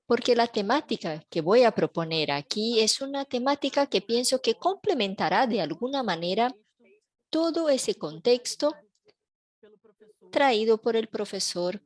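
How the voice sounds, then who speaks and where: A woman interpreting a panel talk in a measured, even way over a video call. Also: a little high. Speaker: simultaneous interpreter.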